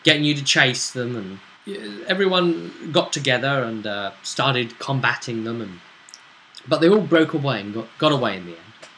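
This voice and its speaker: A man's voice: medium pitch, animated, normal speed, a bit bright, a little hiss or hum, poor audio. A man chatting through a recap of a tabletop role-playing session as game master, in a bantering, conversational storytelling way. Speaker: game master and show host.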